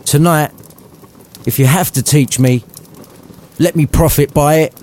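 A man's voice: on the high side, a little slow, a little mellow, pitched above the performer's own voice, natural voice. A man voicing a submissive, humbled old Victorian miser in a storybook reading, earnest in manner.

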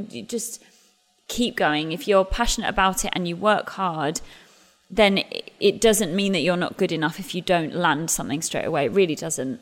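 A woman's voice: fairly low pitch, long reverb tail. A woman in her thirties, an English stage actress, talking chattily about her career in an interview, often restarting and laughing at herself. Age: 30s. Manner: chatty, self-deprecating, frequent restarts and laughs. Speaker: musical theatre actress. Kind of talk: interview conversation.

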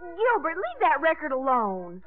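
Snooty teen daughter, very high and dramatic, old thin-sounding radio play. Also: female voice, wildly swooping pitch, a little slow, faint music behind, full character voice. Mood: haughty. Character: haughty, dramatic teenage daughter with artistic airs. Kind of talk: 1950s radio drama acting. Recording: noisy, narrow 1950s radio broadcast.

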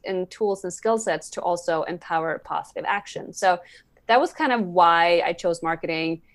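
A woman from Sweden talking casually and anecdotally as a guest on a podcast. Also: fairly low pitch. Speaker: podcast guest, climate activist and speaker. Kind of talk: casual, anecdotal interview talk.

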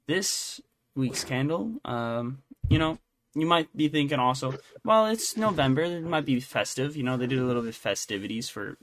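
A man's voice: medium pitch, animated, moderate pace, fairly bright tone. A man co-hosting a podcast, chatting casually about entertainment news in a rambling, jokey, self-interrupting way. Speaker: podcast co-host.

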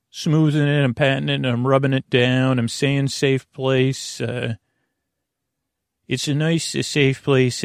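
Creaky, halting man murmuring bedtime rambles. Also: mid-range voice, no echo, clean studio sound. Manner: halting, stammering, meandering. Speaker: podcast host. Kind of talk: rambling, soothing bedtime monologue to the listener.

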